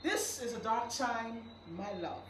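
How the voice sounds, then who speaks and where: A woman's voice: a little high, a little slow. A young woman, a performance poet, dramatically reciting a poem at a live event. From Guyana. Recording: echoey room with clear noise.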